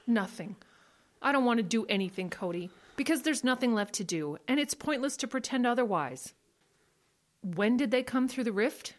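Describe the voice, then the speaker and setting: A woman's voice: fairly high pitch, expressive, normal speed, natural voice. A woman playing an anxious, bitter, despondent woman in a naturalistic audio drama, sardonic and exasperated.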